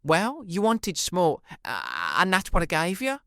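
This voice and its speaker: A man's voice: sits high, very animated, unhurried, sharp tone, no echo, studio quality, exaggerated voice. An actor playing a nervous, apologetic British male escort in an audio play, stammering and colloquial in his speech. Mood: casual.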